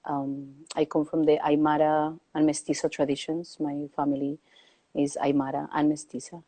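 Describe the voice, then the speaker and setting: A woman from Peru speaks in a low, warm voice that stays fairly flat in pitch. She talks slowly and reflectively, pausing often to find her words, over a video call. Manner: slow, thoughtful, with many hesitations. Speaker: guest. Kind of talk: reflective conversation. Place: home, calling in remotely.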